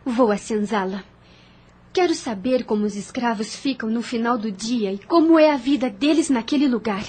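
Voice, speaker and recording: A woman's voice: a little high, moderate pace, background noise, lightly acted. A woman acting a determined, compassionate young woman in an audio drama, speaking formally with earnest emphasis.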